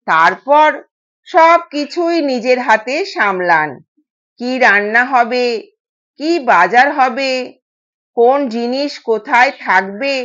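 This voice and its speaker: Young new bride, played by a female storyteller, dutiful and polite, high unhurried voice, slightly lo-fi. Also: fairly bright tone. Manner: polite, soothing. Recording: dull, lo-fi sound.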